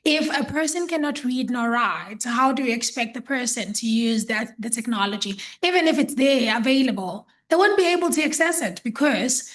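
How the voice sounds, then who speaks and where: A confident woman addressing a forum panel as an executive, pressing her points with emphasis. Her voice sits fairly high for a woman. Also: animated, normal speed, few pauses, fairly bright tone.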